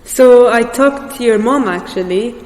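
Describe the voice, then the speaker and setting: A woman's voice: on the high side, unhurried, a little mellow. A young woman asking questions as the interviewer in a casual interview. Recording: echoey reverberant room with background noise.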